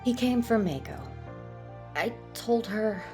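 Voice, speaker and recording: Female Jedi master played by an actress: solemn, hurt, unhurried, for a sci-fi audio drama. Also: on the high side, expressive, music in the background. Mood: grave, probing. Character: grave, wounded Jedi master, sister of the Sith narrator.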